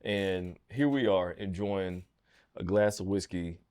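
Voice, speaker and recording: A young man hosting a podcast with his father outdoors in the evening, laid-back and slangy, quick to laugh. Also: sits low, darkish tone, clean tone. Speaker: podcast host, son. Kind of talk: casual podcast chat. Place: outdoors at a home, Sunday evening.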